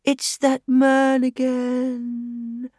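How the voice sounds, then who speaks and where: A woman voicing an eerie male scarecrow made of sackcloth and straw, speaking slowly and mournfully and repeating old radio catchphrases. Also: high-pitched, sing-song, bright, forceful tone, no room sound, clean studio sound, pitched above the performer's own voice, heavily acted.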